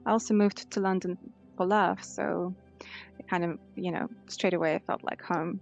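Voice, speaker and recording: A woman's voice: fairly low pitch, fairly even intonation, moderate pace, vocal fry, soft background music. A woman in her thirties with a Russian accent, answering conversationally as a life coach in an interview. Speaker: life coach, podcast guest.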